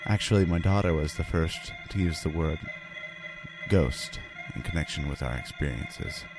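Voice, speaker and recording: A man's voice: a bass voice, moderate pace, pitched below the performer's own voice. A man playing a haunted husband and father, narrating a first-person horror story in an ominous, earnest and theatrical manner. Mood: hushed dread. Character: haunted husband and father confessing a terrifying ordeal. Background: background music.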